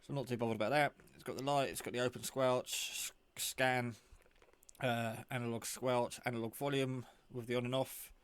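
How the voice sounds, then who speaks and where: A man talks through a gadget in an informal, rambling way. His voice sits at an ordinary middle pitch, and the sound is fairly dry and close. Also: fairly even intonation, moderate pace, smooth, dry recording. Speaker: radio hobbyist showing a handset. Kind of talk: casual product show-and-tell.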